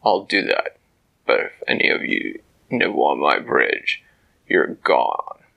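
A man voicing a big hairy male troll who mutters gruffly about his bridge. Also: extremely low pitch, animated, slow, sharp tone, pitched below the performer's own voice, heavily acted. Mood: gruff wary.

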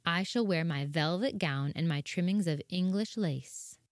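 A man's voice: fairly high pitch, a little slow, no echo, clean studio sound, pitched above the performer's own voice. A man voicing a proud, spiteful young stepsister in a storybook reading, speaking sharply and haughtily. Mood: boastful.

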